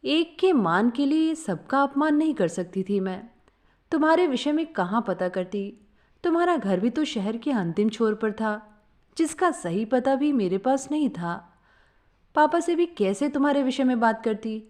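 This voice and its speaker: Lady storyteller, highish voice, really animated intonation, earnest and sentimental. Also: measured pace. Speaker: story narrator. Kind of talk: expressive first-person story reading.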